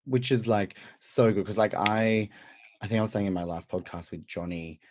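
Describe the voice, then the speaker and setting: Deep-voiced chatty Australian man. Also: normal speed, a little mellow, slight background noise. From central west New South Wales, Australia. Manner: chatty, upbeat, filler-heavy. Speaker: podcast host, drag performer. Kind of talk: casual podcast interview.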